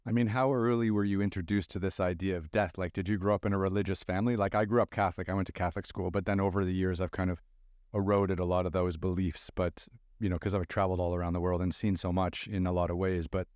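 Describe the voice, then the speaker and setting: Casual male podcast host, lowish voice, dry close studio sound. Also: level tone, quick pace, a little mellow, no echo. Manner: casual, loose, swears freely. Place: recording studio. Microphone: close studio mic.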